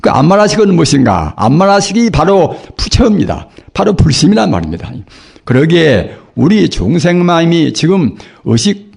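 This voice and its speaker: Hoarse older monk preaching. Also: male voice, on the high side, big pitch swings, a little mellow. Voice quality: gravelly, husky. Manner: slow, earnest preaching with rhetorical questions. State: hoarse from a cold. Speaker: Buddhist monk giving a dharma talk. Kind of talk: sermon. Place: temple dharma hall.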